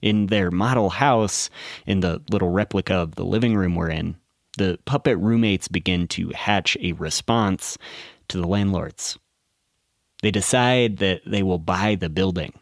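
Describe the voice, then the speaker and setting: A man narrating a scripted documentary podcast in a measured, storytelling way. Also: sits low, no room sound, clean studio sound.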